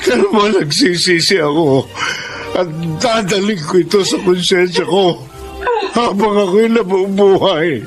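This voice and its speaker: A man's voice: high voice, unhurried. Remorseful ex-cop voiced by a guy, earnest and grave, radio drama with music behind. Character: corrupt middle-aged male ex-cop, remorseful. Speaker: narrator of his own story.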